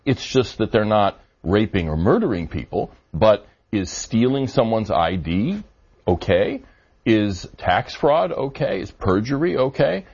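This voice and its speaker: A man answering interview questions on policy, measured and argumentative, with frequent fillers. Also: fairly low pitch, sing-song, a little mellow, slightly rough. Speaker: immigration policy guest. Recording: dull lo-fi audio.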